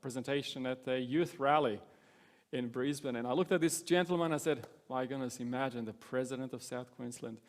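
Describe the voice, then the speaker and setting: A man in his thirties who grew up in Romania, talking earnestly and joking about himself on a church stage. His voice has a long hall reverb behind it. He speaks at an everyday middle pitch. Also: a little fast. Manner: self-deprecating humour, earnest. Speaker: guest pastor. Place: church stage before a congregation.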